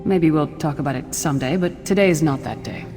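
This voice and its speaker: A woman's voice: low-pitched, quick pace, lightly acted. An actress playing a tough, loyal female warrior in a fantasy game, blunt and wry. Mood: earnest, guarded. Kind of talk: fantasy video game acting. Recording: long reverb, music behind the voice.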